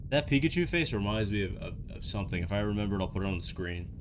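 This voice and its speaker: A man's voice: lowish voice, lively intonation, rapid-fire, background noise. A man giving casual, conversational reaction commentary on game footage, with dryly joking asides. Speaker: host of a video reaction and analysis show. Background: background music.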